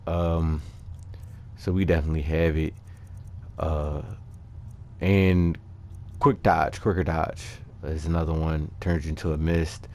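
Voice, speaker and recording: A man with a low voice delivers loose, unscripted gaming commentary. He thinks out loud, halting and picking up again as he explains things to his viewers. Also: little pitch movement. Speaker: gaming video creator. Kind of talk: casual unscripted game commentary.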